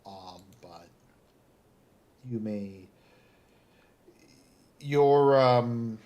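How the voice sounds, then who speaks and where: A man with a Southern Ontario Canadian accent, chatting casually as a livestream host in a halting, rambling way that sounds like thinking aloud. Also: medium pitch, a little mellow. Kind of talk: casual chat, live commentary.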